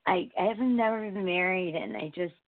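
A forty-something woman caller talks warmly and at length, her voice youthful yet slightly low. She comes through a narrow phone line. The sound is dry. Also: a little fast, no room sound. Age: 40s. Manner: warm, effusive, rambling, says she has a young voice. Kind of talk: call-in conversation.

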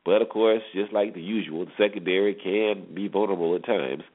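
Male sports radio host, lowish pitch, conversational previews and commentary, thin phone-grade sound. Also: a little fast. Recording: muffled, phone-like narrow-band radio audio.

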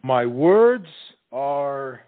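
Man acting slow, halting, earnest young suitor. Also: mid-range voice, sing-song. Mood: flustered. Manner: halting, loud declamation of parroted lines. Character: tongue-tied, slow-witted earnest male suitor. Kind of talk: comic period stage play acting. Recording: muffled stage recording with faint music.

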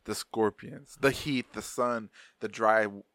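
A man's voice: lowish voice, steady tone, measured pace, fairly bright tone, dry recording. A man in his thirties from Arizona, hosting a podcast in loose, rambling casual chat, swearing freely and laughing often. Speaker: podcast host.

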